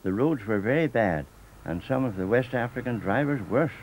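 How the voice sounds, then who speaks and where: An older man with a Northern Irish accent, reading a scripted documentary narration in a measured, steady way. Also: mid-range voice, slight background noise, poor audio. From Northern Ireland.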